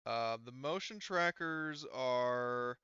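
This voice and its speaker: A man's voice: mid-range voice, slow, bright, forceful tone, clear, resonant tone, no room sound, lo-fi sound. A man acting as game master in casual online play, explaining things hesitantly and thinking aloud as he goes.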